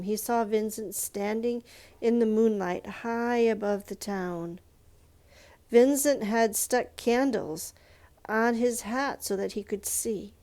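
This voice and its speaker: Slow, mellow woman reading a storybook. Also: mid-range voice. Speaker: storybook reader. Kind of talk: scripted narration of a children's picture book.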